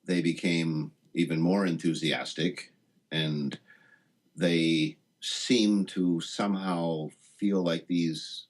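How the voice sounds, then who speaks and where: A man being interviewed as a guest, speaking reflectively and haltingly, often rephrasing as he searches for words. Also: rumbling low, husky. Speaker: interview guest, writer of a satire piece.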